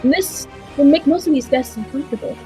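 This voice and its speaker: A woman narrates a vintage-style radio drama from a script. Her voice is high-pitched yet mellow, with music playing softly beneath it. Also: fast talker.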